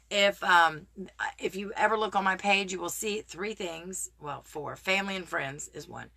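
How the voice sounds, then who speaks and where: Woman host, bright and a touch raspy; warm-hearted pep talk straight into a phone camera. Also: fairly low pitch. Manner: earnest, encouraging, greets viewers by name. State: slightly raspy voice. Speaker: motivational live streamer. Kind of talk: casual motivational talk to viewers.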